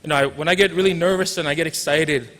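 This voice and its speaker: Man in his 40s, Hawaiian accent, loose joking speech, slightly high voice, long hall reverb. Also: steady tone, fast talker, a bit bright. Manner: joking, informal, with Hawaiian Pidgin asides. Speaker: teacher and class advisor giving a graduation speech. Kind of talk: commencement speech. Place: large hall.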